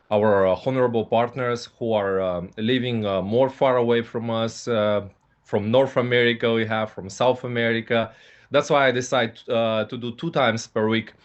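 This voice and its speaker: Hesitant man on a video call. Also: medium pitch. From Bulgaria. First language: Russian. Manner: hesitant, frequent fillers, repeats "okay, guys". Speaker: host, company ambassador. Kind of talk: live online business presentation. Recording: dull lo-fi video call audio.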